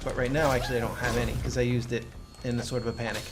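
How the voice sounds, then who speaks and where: Man, rapid pace, casual gameplay commentary, explanatory, game music behind. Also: medium pitch, level tone. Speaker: gaming co-host.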